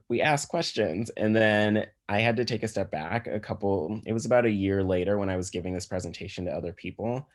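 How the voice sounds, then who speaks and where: Low-pitched American man giving a lecture. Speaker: physician giving a presentation. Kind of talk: slide lecture, explanatory and conversational.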